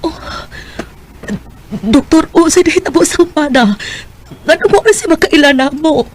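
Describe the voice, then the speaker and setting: An actress playing a devoted, caring middle-aged wife in a radio drama, with a Bisaya Filipino accent. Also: female voice, sits high, moderate pace, natural voice. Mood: worried. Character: devoted, caring wife, anxious for her ailing husband. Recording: noisy radio drama with background ambience.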